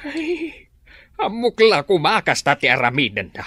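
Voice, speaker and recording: A man's voice: high voice, huge pitch swings, measured pace, full character voice. An actor playing an older farming father who scolds his absent children in a radio drama. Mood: exasperated.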